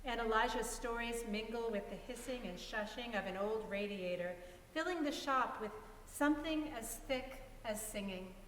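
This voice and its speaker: A woman reads a story aloud as narrator in a fairly high voice. She sounds set back from the microphone in a reverberant hall, with a faint hiss behind her. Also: normal speed, fairly bright tone. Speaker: reader in a dramatic reading. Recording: echoey hall, distant mic, faint hiss.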